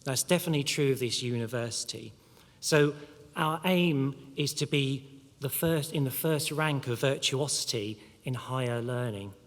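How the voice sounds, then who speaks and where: Guy giving a graduation speech, slow careful delivery, big echoey hall. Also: medium pitch. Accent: non-local, not Mancunian. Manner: measured, with pauses and fillers. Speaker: university official giving a graduation address. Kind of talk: formal ceremony speech. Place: large hall. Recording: long reverb of a large hall.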